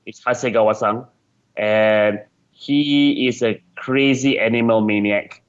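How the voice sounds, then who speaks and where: A man's voice: lowish voice, fairly even intonation, slow pace, clean tone, highs cut off. A man being interviewed as a game designer, talking casually and chattily, often repeating words as he searches for phrasing.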